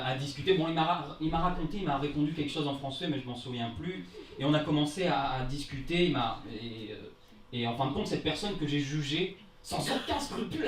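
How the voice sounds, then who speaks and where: A man's voice: medium pitch, slight background noise. A man in his twenties from France telling a comic personal story on stage in a casual, self-mocking, theatrical way. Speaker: amateur storyteller at a live travel-story event. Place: indoor live storytelling event before an audience. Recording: roomy live venue, distant microphone.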